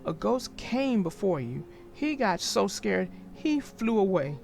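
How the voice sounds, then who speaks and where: A friendly grown-up mole in a children's story, matter-of-fact and kind, as a woman reads him. The pace is slow and the voice has a faint gravelly texture. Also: medium pitch, very animated, a little mellow, soft background music, full character voice. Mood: kindly.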